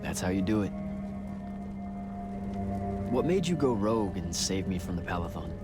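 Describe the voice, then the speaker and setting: An actor playing a sceptical, irreverent young soldier mage in casual, challenging video game dialogue. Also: male voice, deep voice, sing-song, moderate pace, loud music behind the voice. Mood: subdued, curious.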